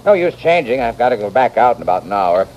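An actor playing a dedicated, matter-of-fact town doctor in an old radio western, with an understated manner. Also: male voice, medium pitch, very animated, fast, lightly acted. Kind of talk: 1940s-50s radio western acting. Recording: dull, noisy old radio recording.

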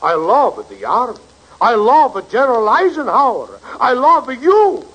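Big hearted italian grocer on old radio comedy, raspy, really high, lively, thick accent broken english. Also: male voice, measured pace, muffled recording, exaggerated voice. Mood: pleading, effusive. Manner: exclamatory, broken English, effusive. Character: excitable, big-hearted Italian immigrant food seller. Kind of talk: 1940s-50s radio comedy acting.

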